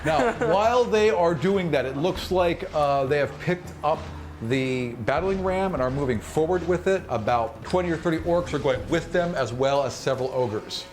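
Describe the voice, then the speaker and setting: A man improvising narration as game master of a tabletop fantasy game, casual and animated, acting out sound effects and reactions. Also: on the high side, moderate pace. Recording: clearly noisy recording.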